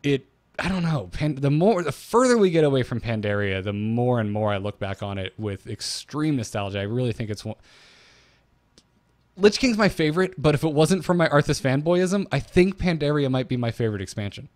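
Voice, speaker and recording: A man's voice: medium pitch, expressive, a little fast, a little mellow, no echo, clean studio sound. A man co-hosting a podcast, talking informally and with enthusiasm as he recaps and discusses game lore as a fan. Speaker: podcast co-host.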